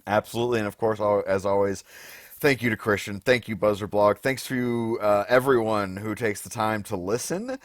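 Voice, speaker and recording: A man with a hoarse voice, co-hosting a podcast in casual, wordy chat, thinking aloud as he goes. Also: fairly low pitch, steady tone, moderate pace, dry recording, clean studio sound. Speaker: podcast co-host. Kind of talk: casual unscripted chat about game shows.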